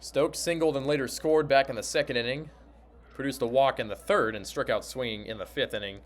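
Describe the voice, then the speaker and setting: A man's voice: medium pitch, fairly quick. A man giving radio play-by-play commentary of a baseball game as the announcer.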